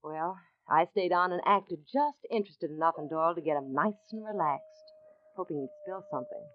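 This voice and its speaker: A woman's voice: lowish voice, brisk, dry recording, natural voice. An actress playing a tough, frank, plucky American woman in an old radio drama. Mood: rueful, confiding. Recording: dull old radio recording.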